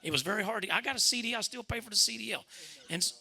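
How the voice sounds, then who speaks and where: Middle-aged man, Southern Arkansas accent, higher pitch, very sharp bright tone, folksy rambling storytelling, coach-like. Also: racing, vocal fry. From Arkansas, United States. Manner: folksy, rambling, repeats himself. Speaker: former basketball coach and commentator telling stories at a club talk. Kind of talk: animated informal storytelling.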